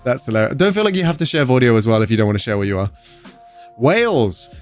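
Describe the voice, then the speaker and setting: A young English man hosting a game stream, talking easily and reading his chat aloud. His voice sits at an ordinary middle pitch, with faint music playing underneath. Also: sing-song, fast talker. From England. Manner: chatty, reads chat aloud and answers viewers. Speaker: livestream host. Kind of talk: casual livestream chat while gaming.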